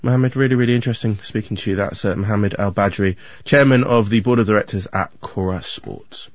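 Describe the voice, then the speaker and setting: A man with a fairly low voice conducts a broadcast interview in a conversational way. The sound is dry and somewhat dull. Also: brisk, no room sound. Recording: dull lo-fi audio.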